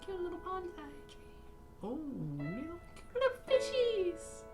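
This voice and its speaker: A woman hosting a reaction video with casual, expressive commentary and theatrical exclamations. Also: extremely high pitch, a little slow, over loud music.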